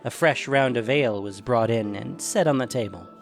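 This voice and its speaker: A man narrating a scripted fantasy story dramatically, with expressive, deliberate phrasing. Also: medium pitch, soft background music.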